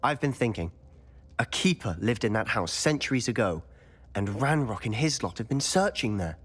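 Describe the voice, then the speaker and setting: A man's voice: medium pitch, animated, normal speed, a bit bright, breathy edge. A man playing a brooding, persuasive teenage wizard in a video game, serious and confiding in manner. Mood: intent, confiding. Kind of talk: video game cutscene acting. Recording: long hall-like reverb.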